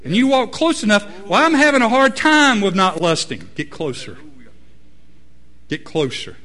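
A man preaching a sermon, swinging between loud emphatic peaks and low quiet asides. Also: very animated, moderate pace. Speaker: pastor.

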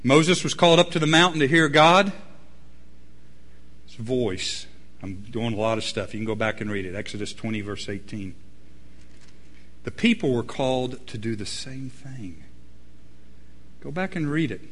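A man preaching a sermon, swinging between loud emphatic peaks and low quiet asides. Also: animated, moderate pace, long pauses, a little hiss or hum. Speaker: pastor.

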